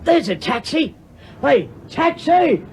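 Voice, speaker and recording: A man's voice: extremely high pitch, very animated, slow pace, full character voice. An actor playing a cheerful, loyal male sidekick who talks in chirpy British slang in an old radio serial. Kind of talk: 1940s radio acting. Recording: noisy old radio recording.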